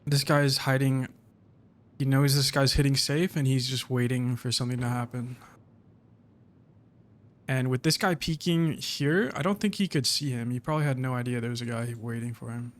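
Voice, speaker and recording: Calm, low-key man streaming a game. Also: moderate pace. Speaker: streamer playing a shooter game. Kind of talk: casual gameplay commentary.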